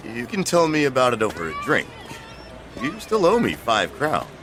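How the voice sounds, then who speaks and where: An actor playing a sly, grasping innkeeper in an audio drama, falsely friendly and insinuating with drawn-out courtesy. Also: male voice, mid-range voice, huge pitch swings, background noise. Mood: wheedling.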